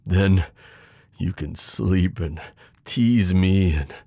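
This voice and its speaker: A man playing a devoted, protective knight in an intimate fantasy romance audio roleplay, urgent and pleading with hushed reassurance. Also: low-pitched, drawn-out words, vocal fry, dry recording, clean studio sound, heavily acted. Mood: tense, protective. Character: devoted protective male knight, desperate, tender lover.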